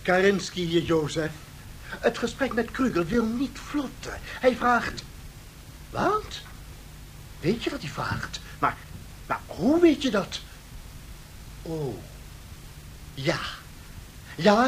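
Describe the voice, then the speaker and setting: Animated, haggling Soviet commissar. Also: male voice, high voice, heavily acted. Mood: excitable, familiar. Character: haggling Soviet commissar, chummy with his leader. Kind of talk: 1970s Dutch radio drama acting. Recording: noisy 1970s radio drama recording.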